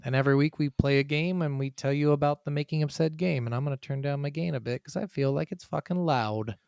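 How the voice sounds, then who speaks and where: A man's voice: on the high side, fast, gravelly, a little mellow, clear, resonant tone, no room sound, studio quality. A man hosting a casual gaming podcast, chatting bluntly and swearing freely. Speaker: podcast host.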